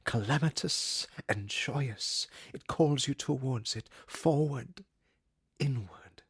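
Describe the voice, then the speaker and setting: An actor playing a brooding, guarded musician with a breathy, husky voice in an introspective audio drama. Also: male voice, medium pitch, takes it slowly, a bit bright, dry recording, clean studio sound, exaggerated voice. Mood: hushed, poetic. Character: brooding, guarded male musician leaving his lover.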